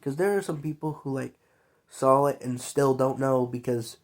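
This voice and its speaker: A man's voice: mid-range voice, moderate pace. A young man who is sick and coughs now and then, talking in a loose, rambling, slangy vlog monologue full of fillers. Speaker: vlogger.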